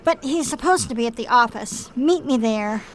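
A woman's voice: a little high, lively intonation, normal speed, poor audio. A woman playing a loyal, caring female android detective partner in an audio drama. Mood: concerned.

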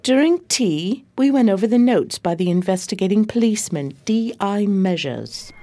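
A woman's voice: mid-range voice, expressive, normal speed, dark tone, lightly acted. A woman playing a loyal, deferential police assistant who tells the story in a radio drama, composed and polite. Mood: measured recounting. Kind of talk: radio drama narration and dialogue. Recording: faint background noise.

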